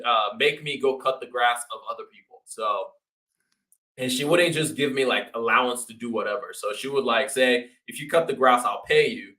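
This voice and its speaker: Male interview guest from Nigeria, mid-pitched, very bright voice, casual slangy storyteller. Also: low-quality recording. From Nigeria until age twelve, then the United States. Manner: informal, slangy, quoting himself and others.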